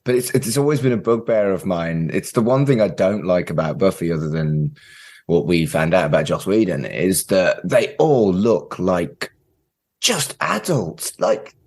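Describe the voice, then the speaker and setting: A man's voice: low voice, lively intonation, a little slow, darkish tone. A man hosting a podcast in a casual, conversational style. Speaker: podcast host.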